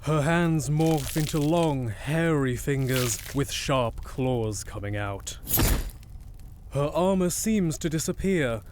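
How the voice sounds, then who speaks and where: A man reading dramatic scripted narration for an audio drama as its narrator. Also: medium pitch.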